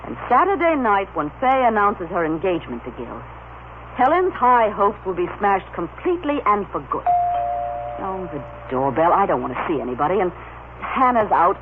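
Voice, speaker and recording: A woman playing a cold, calculating, sharp-tongued society wife in an old-time radio soap opera. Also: mid-range voice, sing-song, normal speed, lightly acted. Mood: gloating. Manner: haughty, sharp-tongued, imperious. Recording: scratchy, narrow-band old radio recording.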